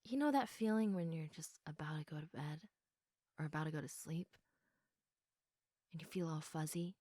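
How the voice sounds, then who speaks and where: A woman playing a sleepy, affectionate girlfriend in an intimate sleep-aid roleplay, speaking softly and reassuringly with a tendency toward giggles and whispers. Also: low voice, no echo. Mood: drowsy, affectionate. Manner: soft, unhurried, often giggly, dropping into whispers. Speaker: audio roleplay performer.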